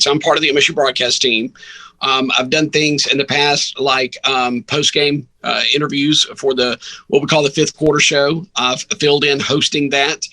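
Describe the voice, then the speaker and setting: A man's voice: medium pitch, pushed and bright, low-quality recording. A man, a radio sports broadcaster answering questions conversationally as an interview guest.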